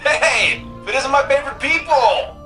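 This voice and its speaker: An actor playing an excitable, cheeky young tech-whiz sidekick in a video game, with a slangy, playful manner. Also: high-pitched, measured pace, soft background music, exaggerated voice. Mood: upbeat. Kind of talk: video game character dialogue.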